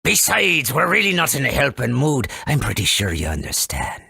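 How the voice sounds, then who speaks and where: A man voicing a folksy, cautious elder of a furry forest tribe in a video game, with a gravelly, husky voice and dramatic storytelling manner. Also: mid-range voice, huge pitch swings, normal speed, sharp tone, no room sound, studio quality, exaggerated voice. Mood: sorrowful, resigned. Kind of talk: video game character acting.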